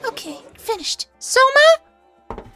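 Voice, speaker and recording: Man putting on a very high, squeaky young woman. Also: sing-song, slow pace, pushed and bright, soft background music, full character voice. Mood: surprised, mild. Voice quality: squeaky falsetto. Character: excitable, squeaky young female kitchen cook.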